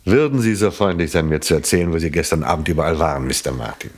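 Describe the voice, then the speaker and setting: An actor playing a polite, probing police inspector in a German radio crime play, questioning with courteous insistence. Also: male voice, rumbling low, fast, slightly rough.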